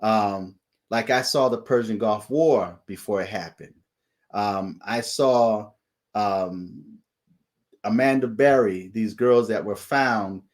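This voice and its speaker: A man, hosting his channel and recounting a dream in a slow preaching monologue, often pausing to ask "okay?". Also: lowish voice. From United States. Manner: deliberate, repeats "okay?" as a check-in. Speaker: channel host sharing dreams and visions.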